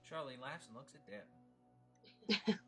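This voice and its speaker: Guy narrating screenplay directions at a table read, medium voice, soft music behind. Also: medium pitch, a little slow. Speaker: narrator reading stage directions.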